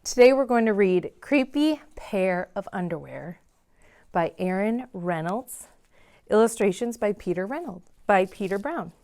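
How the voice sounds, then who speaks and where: A woman's voice: mid-range voice, lively intonation, a little slow, a little mellow. A woman hosting a story time in a library, reading a picture book aloud to children with dramatic emphasis on key words. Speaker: read-aloud host in a library.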